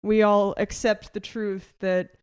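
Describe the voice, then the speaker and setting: A woman's voice: medium pitch, little pitch movement, darkish tone, smooth. A young American woman co-hosting a comedy podcast, joking and laughing as she argues a playful debate. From United States. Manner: joking, quick, laughs often.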